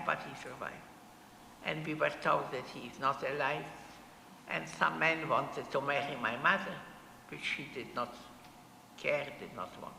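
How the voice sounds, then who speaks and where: An elderly woman in her eighties, speaking, slowly gives her testimony in short, halting phrases. Her voice is gravelly and sharp-edged. It is heard in a long, echoing hall with faint noise in the background. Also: low voice. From Poland. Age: 80s. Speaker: Holocaust survivor speaker. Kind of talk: personal testimony to an audience. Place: synagogue hall.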